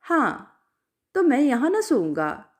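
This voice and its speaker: A woman narrator acts out a lovestruck young villager. He pleads earnestly, meek but with some defiance, in a high, lively storybook delivery. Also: slow pace, full character voice. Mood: hurt, defiant.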